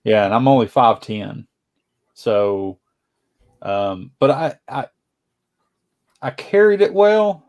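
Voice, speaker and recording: A man's voice: medium pitch, expressive, takes it slowly, pauses often, darkish tone. Easygoing man in his mid-forties. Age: 40s. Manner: easygoing, agreeable, many fillers. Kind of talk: casual group chat.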